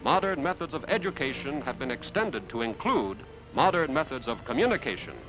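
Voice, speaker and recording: A man's voice: mid-range voice, big pitch swings, fairly quick. An American man reading a scripted 1950s industrial film narration in an explanatory announcer's delivery. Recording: muffled 1950s film soundtrack with music. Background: background music.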